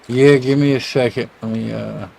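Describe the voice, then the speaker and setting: A man's voice: medium pitch, steady tone, moderate pace, creaky, mellow, a little hiss or hum, low-quality recording. A man chatting casually and informally on a live stream, with colloquial phrasing.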